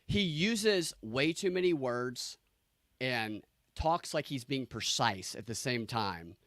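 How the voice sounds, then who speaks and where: A man's voice: mid-range voice, expressive, slow pace, a bit bright, dry recording, studio quality. A man with a nasally voice hosting a casual reaction chat, blunt and sarcastic, swearing freely. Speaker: podcast host.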